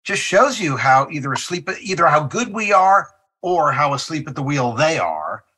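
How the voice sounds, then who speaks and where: Bright, husky-voiced man. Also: on the high side, very animated, normal speed. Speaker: winery representative. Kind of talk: podcast interview.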